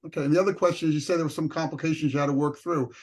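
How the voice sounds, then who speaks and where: A man's voice: on the high side, steady tone, racing, darkish tone. A male reporter asking direct follow-up questions on a press call.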